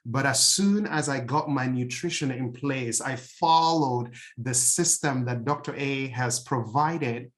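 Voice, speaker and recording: A middle-aged man with a Nigerian accent, a pastor sharing a personal testimony in an earnest, halting way with many fillers. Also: medium pitch. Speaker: outreach pastor and health coach.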